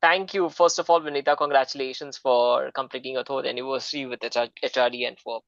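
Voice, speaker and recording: A man's voice: mid-range voice, steady tone, quick pace, vocal fry, fairly bright tone, no echo, highs cut off. Hesitant male seminar host. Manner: polite, hesitant with many fillers. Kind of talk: moderating an online seminar. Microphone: video call.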